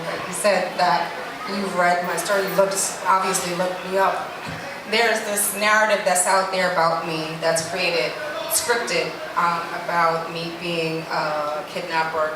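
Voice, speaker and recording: A middle-aged woman from Jamaica, a guest speaking earnestly about her life, with many restarts. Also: on the low side. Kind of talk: candid conversational interview. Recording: echoey room from a distance, noisy.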